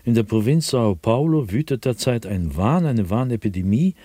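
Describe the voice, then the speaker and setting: Actor as haunted, husky-voiced man on the edge. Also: medium pitch, animated, moderate pace, dark tone, no echo, natural voice. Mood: grave, urgent. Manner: theatrical, intense, dropping into breathy whispers. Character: haunted, fearful diarist sliding into obsessive madness. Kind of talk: dramatic first-person radio drama monologue.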